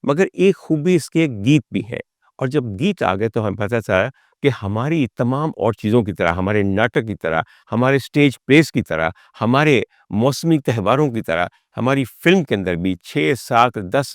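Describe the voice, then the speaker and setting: A man of medium pitch presents a talk as a storyteller. He speaks in a rhetorical, dramatic way, building up deliberately through repeated phrases. The sound is dry with very little room in it. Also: no echo. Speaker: host and storyteller of a music history talk. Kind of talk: dramatic spoken storytelling to the audience.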